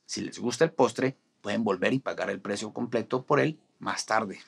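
A man in his forties explaining restaurant marketing to camera in a studio, with a steady, instructive delivery. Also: fairly low pitch, moderate pace, gravelly, slightly rough. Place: studio in front of a green screen.

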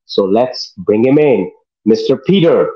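A man's voice: lowish voice, animated, unhurried, husky, dull audio. A middle-aged man hosting a talk show over a video call from his living room, enthusiastic and informal. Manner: enthusiastic, loose informal phrasing. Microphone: video call webcam.

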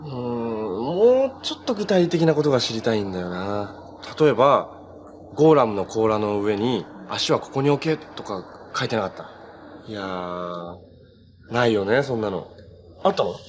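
An actor playing a cheerful, earnest, easygoing young hero in a TV drama, talking in a casual, boyish way. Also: male voice, lowish voice, very animated, moderate pace, a little hiss or hum. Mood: pondering, casual. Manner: casual, friendly, boyish phrasing. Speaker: actor in a TV superhero series. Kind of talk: naturalistic TV drama acting.

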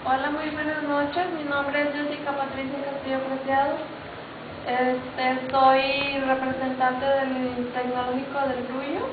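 Flat, high young Mexican woman. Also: unhurried. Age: young adult. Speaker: pageant contestant. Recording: tinny, very echoey, noisy distant recording.

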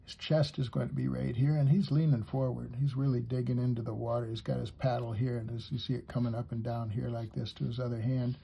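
Husky man teaching a drawing lesson. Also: medium pitch, flat delivery, fairly quick, hardly any pauses, dull audio. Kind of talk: step-by-step drawing lesson for kids, talking while drawing.

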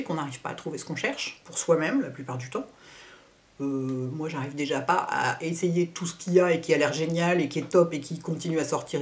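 A middle-aged woman chatting casually to camera in a Q&A, blunt and opinionated in colloquial speech. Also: lowish voice. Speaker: beauty vlogger. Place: living room at home, indoors.

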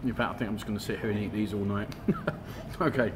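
A man's voice: lowish voice, steady tone, fast, background noise. A man in his forties, filming a casual food vlog on a handheld phone inside a restaurant, chatty and enthusiastic, repeating words for emphasis. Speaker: vlogger. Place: restaurant indoors. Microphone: handheld phone camera.

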